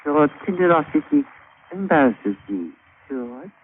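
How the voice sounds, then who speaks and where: High, slow, cunning island schemer. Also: male voice, very animated. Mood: mocking, calculating. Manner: sly, drawling, clipped grammar dropping small words. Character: cunning, greedy island leader, mocking false friend. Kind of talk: old-time radio acting. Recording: vintage radio, narrow band, faint noise.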